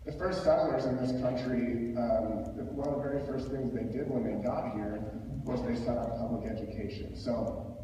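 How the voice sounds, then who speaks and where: A middle-aged American man from rural Minnesota answers at a public forum, repeating words haltingly as he goes. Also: mid-range voice, steady tone, dark tone, a little hiss or hum. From rural Minnesota, United States. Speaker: school board applicant. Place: large echoey room at public forum. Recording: echoey hall, far microphone, dull sound.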